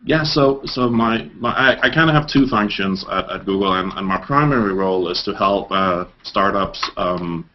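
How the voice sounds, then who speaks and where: A man's voice: deep voice, moderate pace, hardly any pauses, dull audio. A man in his thirties from Denmark, a guest in a video call interview, talking with many fillers and restarts.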